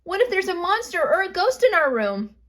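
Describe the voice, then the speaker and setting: An anxious five-year-old bunny girl with a very high, childlike voice, put on by a woman storyteller. She sounds small and unsure, straight out of a children's storybook. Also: sing-song, brisk, fairly bright tone, full character voice.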